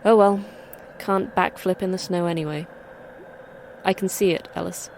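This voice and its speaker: A woman's voice: fairly low pitch, steady tone, moderate pace, a little mellow, a little hiss or hum, close to an everyday voice, rough recording. A woman playing a brusque, sardonic, talkative commander in a sci-fi horror audio drama. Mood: dry, teasing. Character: wry, brusque female commander of an Antarctic monster facility.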